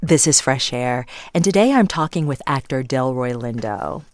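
A woman's voice: a bass voice, expressive, moderate pace, no room sound. A woman hosting a radio interview, asking engaged questions from a studio microphone.

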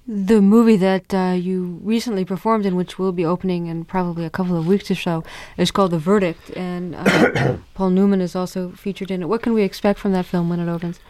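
A woman hosting a radio interview, asking questions and giving measured introductions. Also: on the low side, steady tone, a little mellow.

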